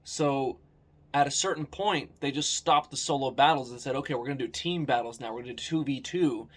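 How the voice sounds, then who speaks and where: A man's voice: mid-range voice, fairly quick, a bit bright. Laid-back American guy telling a story. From United States. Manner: laid-back, candid, swears casually. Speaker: vlogger. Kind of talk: casual vlog narration.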